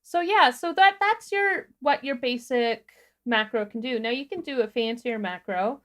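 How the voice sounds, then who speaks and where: A woman co-hosting a livestream, explaining software step by step in an informal, casual tutorial style. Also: a little high, animated, normal speed. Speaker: livestream co-host demonstrating a tutorial.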